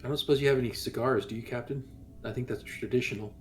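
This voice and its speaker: A man's voice: mid-range voice, fairly even intonation, fast talker, slight background noise. A man playing a tabletop roleplaying game, asking questions with hesitant restarts and speaking in character. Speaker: player.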